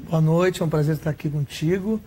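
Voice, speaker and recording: A man's voice: fairly high pitch, brisk, mellow, breathy edge. A man from southern Brazil, an author and academic guest on a TV interview, explaining earnestly with statistics. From Rio Grande do Sul, Brazil. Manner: earnest, explains with figures, some restarts.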